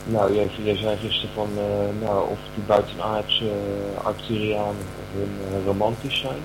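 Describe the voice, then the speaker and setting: Over a clearly humming, noisy recording comes a fairly low-voiced actor as an earnest believer, a man in an audio play. He rambles hesitantly, pausing and repeating himself as he reassures and explains. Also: level tone. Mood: earnest, awed. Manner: hesitant, rambling, many pauses and repetitions. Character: earnest believer in friendly aliens, reassuring companion. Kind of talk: improvised-sounding Dutch audio drama monologue. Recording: noisy, with loud mains hum.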